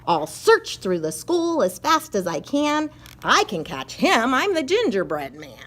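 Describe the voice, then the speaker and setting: An eager little gingerbread man from a storybook played by a woman, plucky and earnest. The voice is bright and highly animated, declaring each rhyme with drama. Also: a little slow, exaggerated voice. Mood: determined.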